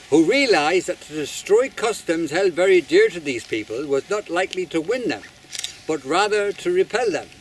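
An older man reading a prepared ceremonial speech outdoors in a formal, measured, declamatory way. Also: high voice. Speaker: master of ceremonies, society chairman. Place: open hilltop outdoors. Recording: outdoor recording with faint background noise.

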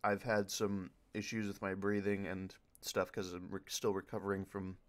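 A man with a fairly low voice speaks as a voice actor on a panel. His talk is casual and unscripted, as he answers questions off the cuff. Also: completely flat delivery, moderate pace.

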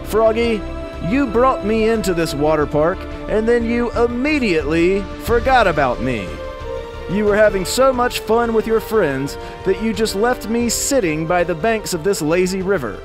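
A mischievous toy alligator villain, as a male storyteller puts him on, holds forth in a high, grandiose voice. He sounds wronged and accusing, in storybook style, with music underneath. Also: expressive, moderate pace. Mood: gloating, aggrieved.

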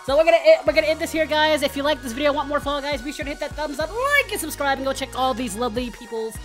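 A woman hosting a casual gaming video with friends, excitable and quick to shout and laugh at the game. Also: high voice, music in the background. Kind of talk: casual gameplay chat with friends.

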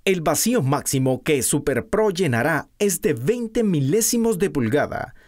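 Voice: medium pitch, very animated, measured pace, a little mellow. A narrator reading scripted product instructions in a stiff, read-out manner.

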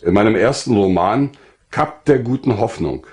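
An older man narrating his own life story from a script in a measured, formal way. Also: fairly low pitch, lively intonation, a little mellow.

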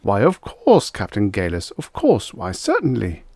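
A man's voice: mid-range voice, sing-song, normal speed, darkish tone, no echo, clean studio sound, voice pushed up. A man voicing an effusive, pompous mayor who gushes as if making a grand speech. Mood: polite, fussy. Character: effusive, pompous small-city mayor, a man, jovially disbelieving.

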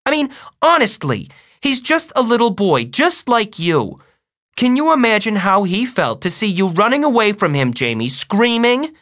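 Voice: mid-range voice, big pitch swings, a little slow, a bit bright, no room sound, studio quality. A narrator voicing a firm, caring mother who admonishes her sons in an audiobook. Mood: stern, disappointed. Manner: earnest, admonishing. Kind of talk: audiobook character voice.

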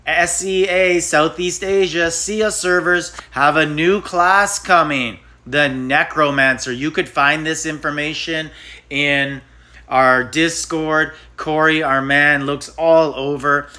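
A man's voice: fairly high pitch, fairly even intonation, slow, bright, forceful tone. A man hosting a mobile game channel, chatting casually and thinking aloud as he taps through menus. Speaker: gaming channel host.

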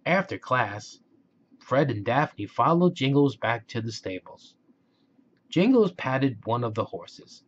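A man's voice: medium pitch, sing-song, takes it slowly, dull audio. A man narrating a children's storybook aloud.

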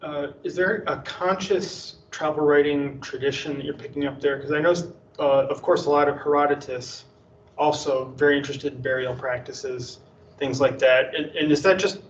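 A man's voice: on the high side, steady tone, moderate pace. A man in the audience asking thoughtful, rambling questions about a research talk. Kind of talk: academic question and answer. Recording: distant, echoey, dull, faint hiss.

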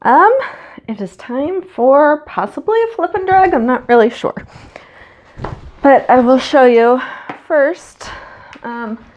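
A woman's voice: fairly high pitch, a little mellow. A woman with a cold and a stuffy nose, chatting casually with lots of ums while she paints at home. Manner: chatty and rambling, many ums. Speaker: acrylic pour artist. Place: home studio, indoors.

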